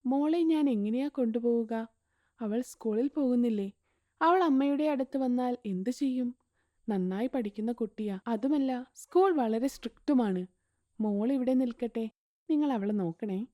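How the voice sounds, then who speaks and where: A woman voicing a gentle caring mother. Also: a little high, normal speed, no room sound, studio quality, lightly acted. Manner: soft, pleading, gentle.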